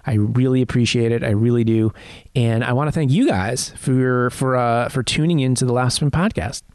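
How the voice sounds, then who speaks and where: A man in his thirties hosting a podcast in a friendly, conversational way, with small hesitations. His voice sits at a middle pitch. The recording is close and dry. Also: normal speed, darkish tone, no room sound. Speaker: podcast host and interviewer. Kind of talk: podcast intro and interview chat.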